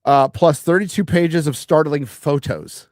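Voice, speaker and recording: A man co-hosting a casual podcast, reading articles aloud slowly and joking with the others. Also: on the high side, darkish tone, no echo, clean studio sound. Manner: reads aloud slowly, jokes and trails off. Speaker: co-host.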